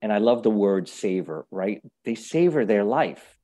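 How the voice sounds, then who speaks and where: A man hosting a casual, reflective conversation, speaking thoughtfully and haltingly and often checking in with the listener. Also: lowish voice, animated, warm tone. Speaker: host.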